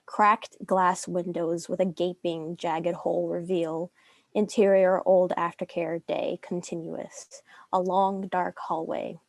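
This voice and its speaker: A woman's voice: lowish voice, no echo. A young woman reading stage directions as the narrator of a dramatic script. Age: young adult.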